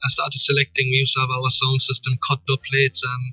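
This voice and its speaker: A man's voice: medium pitch, fast talker, dry recording, muffled recording, soft background music. A man in his early thirties from Germany, a guest artist chatting casually and saying "you know" often. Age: early 30s. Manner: relaxed, peppers speech with "you know". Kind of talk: casual interview chat.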